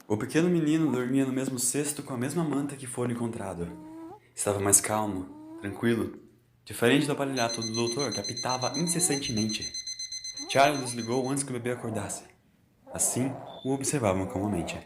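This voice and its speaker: A man's voice: mid-range voice, fairly quick, background noise. A man reading a scripted story as a dramatic narrator. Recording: echoey, with music behind.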